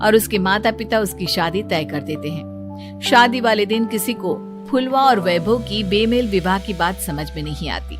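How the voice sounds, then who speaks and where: A woman's voice: medium pitch, lively intonation, normal speed. A woman storyteller reading scripted narration of an emotional family story. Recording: music behind the voice.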